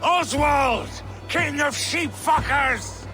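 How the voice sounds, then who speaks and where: An actor playing a vengeful, contemptuous warrior challenger in a video game, declaiming taunts in a gravelly voice. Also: male voice, very high-pitched, drawn-out words, full character voice. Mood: furious, taunting. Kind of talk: dramatic video game acting. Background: loud music.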